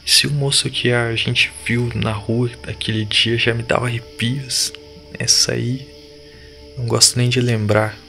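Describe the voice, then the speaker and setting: An actor playing a shaken, defiant village boy in an audio drama, speaking in urgent, halting slang. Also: mid-range voice, level tone, vocal fry, sharp tone, faint music behind. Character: shaken, defiant village boy urging his friends to flee.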